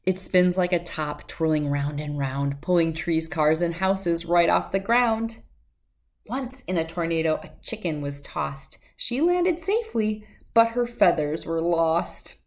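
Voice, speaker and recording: A middle-aged woman reads a rhyming children's picture book aloud in a measured, sing-song way. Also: lowish voice, animated.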